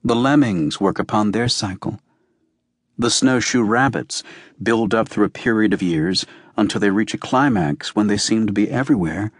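A man reading a novel as an audiobook narrator, with measured, deliberate phrasing. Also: fairly low pitch, no echo, studio quality. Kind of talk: scripted literary narration.

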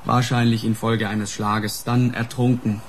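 An actor playing a matter-of-fact police subordinate in a German radio crime drama, laconic and factual. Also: male voice, medium pitch, flat delivery, a little slow, slight background noise, close to an everyday voice. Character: matter-of-fact male police subordinate reporting to his chief.

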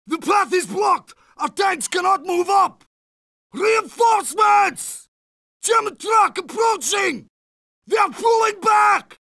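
A man's voice: very high-pitched, vocal fry, pushed and bright, dry recording, clean studio sound, heavily acted. A man with a Russian accent voicing an idealistic young Soviet soldier troubled by brutality in a video game. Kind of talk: video game character voice lines.

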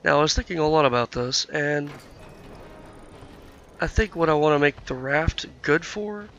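A man's voice: a little high, animated, normal speed, fairly bright tone, lo-fi sound. A man commentating his own gameplay, thinking aloud with lots of fillers, his voice nasal and scratchy from being sick. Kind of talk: casual let's-play commentary.

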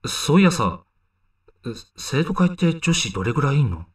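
A man's voice: on the high side, big pitch swings, normal speed, far from the mic. A man playing a flippant, girl-crazy teenage schoolboy in an amateur voice drama, with casual slangy delivery. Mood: lazy, curious.

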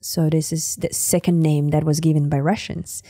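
A woman's voice: deep voice, level tone, moderate pace, mellow, no echo, clean studio sound. A woman from the Sakha Republic, a native Sakha speaker with a Sakha accent, talking as a podcast guest and often searching for words with fillers. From Sakha Republic, Siberia. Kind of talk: conversational interview.

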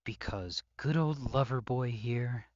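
A man's voice: mid-range voice, slow, a little mellow, no echo, dull audio, lightly acted. A man playing an obsessive, possessive psychopath captor in an intimate roleplay audio drama, speaking with mock tenderness and often dropping to whispers. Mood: cold devotion.

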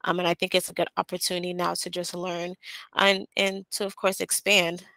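A young woman answering job interview questions over a video call on a headset, pausing often with "um". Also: on the low side, level tone, vocal fry, sharp tone, dry recording, poor audio. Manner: hesitant, many "um" fillers. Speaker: job candidate. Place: small indoor room.

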